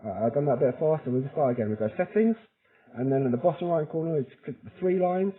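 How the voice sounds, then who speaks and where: A man's voice: fairly high pitch, expressive, fast. A man presenting a how-to tutorial in an informal, chatty way, often checking in with the listener as he explains each step. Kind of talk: casual how-to walkthrough. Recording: thin, phone-like narrow-band audio.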